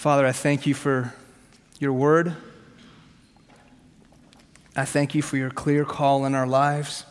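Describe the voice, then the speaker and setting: A man in his thirties whose first language is Farsi, preaching a sermon from his own story in a punchy, conversational way, with quick rhetorical questions and self-mocking jokes. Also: mid-range voice, level tone, smooth. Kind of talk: sermon with personal testimony. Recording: long hall reverb.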